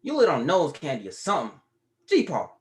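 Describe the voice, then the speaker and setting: A man's voice: a little high, wildly swooping pitch, a little fast, a little mellow. Man playing a mouthy teenage boy. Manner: blunt, profane, cocky. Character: mouthy fifteen-year-old grandson. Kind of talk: table read.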